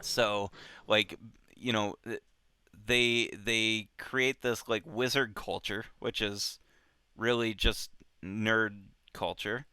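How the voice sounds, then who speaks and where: A man casually discussing a book as a show host, thinking aloud in halting, repetitive phrases. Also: lowish voice, fairly even intonation, sharp tone, smooth, no room sound, studio quality.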